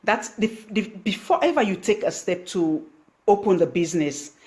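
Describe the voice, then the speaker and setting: A woman's voice: medium pitch, big pitch swings, moderate pace, a little mellow. A woman from Sierra Leone with a Sierra Leonean accent, speaking English as a second language, giving business tips on a live stream and stopping to thank viewers by name. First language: Krio. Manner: thanks viewers by name, many fillers and restarts. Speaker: online business coach. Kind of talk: Facebook live stream talk.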